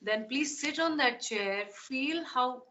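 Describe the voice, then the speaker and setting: A woman's voice: high-pitched, expressive, takes it slowly, bright, forceful tone, lo-fi sound. A woman singer being interviewed, answering in an earnest, thoughtful way with many fillers and restarts. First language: not Tamil. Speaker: podcast guest.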